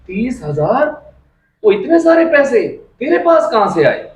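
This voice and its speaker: A man's voice: extremely high pitch, sing-song, darkish tone. A man playing a humble, troubled uncle short of money in an amateur moral drama, plaintive and respectful. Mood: anxious. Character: troubled, humble, hard-up younger brother and uncle. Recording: slightly dull lo-fi sound.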